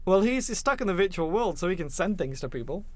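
A man with a fairly high voice hosts a casual film podcast. He recaps the plot conversationally, with irreverent commentary and some swearing. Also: big pitch swings, a little fast. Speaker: podcast host.

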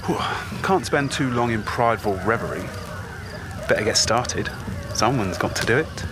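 A man's voice: medium pitch, animated, normal speed, noisy background, lightly acted. A man playing a proud, sentimental magpie in an audio drama, chattering to himself in a theatrical, self-important way. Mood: proud, chatty. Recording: outdoor ambience with bird honks.

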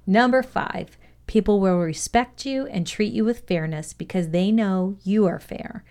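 A woman's voice: medium pitch, a little slow, darkish tone. A woman reading a scripted instructional lesson aloud as a narrator. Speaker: reader of a leadership values lesson.

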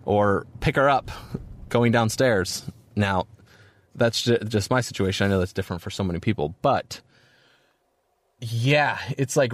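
A man's voice: on the low side, dry recording. A man in his thirties hosting his own podcast, talking casually and rambling with lots of fillers and self-deprecating jokes, tired from little sleep. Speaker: podcast host. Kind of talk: casual solo podcast monologue.

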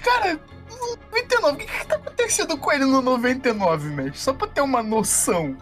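A woman's voice: sits high, big pitch swings, a little fast, faint music behind. A woman with a Brazilian accent playing a tabletop game, chatting casually and with plenty of slang.

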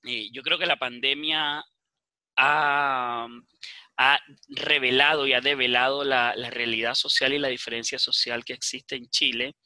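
Venezuelan man with a very bright, forceful voice. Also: mid-range voice, flat delivery, no echo. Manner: halting, repeats phrases for emphasis. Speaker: municipal official for older people's services. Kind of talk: earnest interview answers.

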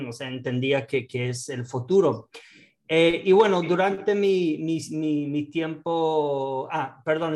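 Guy in his 30s, kinda high voice, hesitant, lots of fillers and restarts, tosses in english words. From born in the United States, raised in Israel. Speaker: guest entrepreneur and investor. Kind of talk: casual interview talk.

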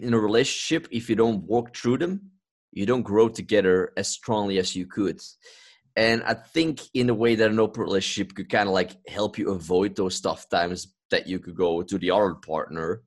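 A man from Belgium chatting casually as a podcast host, explaining his views in an earnest way. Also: sits low. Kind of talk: casual conversational discussion.

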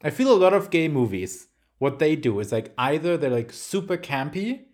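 A man's voice: a little high, very animated, normal speed, darkish tone. A man co-hosting a podcast, rambling casually about films with lots of fillers and teasing remarks. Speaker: podcast co-host.

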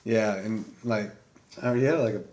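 A young man with a slightly low voice hosts a casual podcast chat. He is laid-back and rambling, and he often reaches for "like" and "you know". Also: normal speed, darkish tone, poor audio. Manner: laid-back, lots of fillers and "bro", "man".

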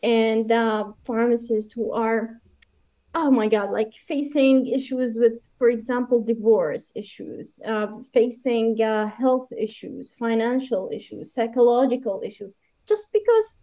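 Animated woman from Iraq, low-key tone. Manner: animated, many fillers and quick asides. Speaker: guest, pharmacist running licensure courses. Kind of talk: conversational interview.

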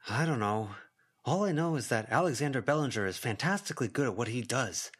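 A man's voice: medium pitch, expressive, brisk, slightly rough. A man voicing a knowledgeable, wary teenage student in an audiobook. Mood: wary, explanatory. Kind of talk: audiobook character dialogue.

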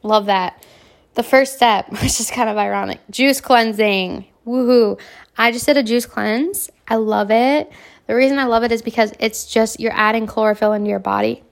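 A young woman hosting a casual self-help podcast, hoarse from a cold, talking in a brash, self-assured way and swearing freely. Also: a little high, normal speed. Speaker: podcast host.

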